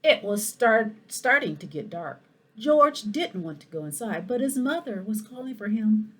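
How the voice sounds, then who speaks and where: A woman reading a children's story aloud in an expressive, animated way, with asides to her young listeners. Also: on the high side, normal speed. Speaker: school principal reading a picture book aloud.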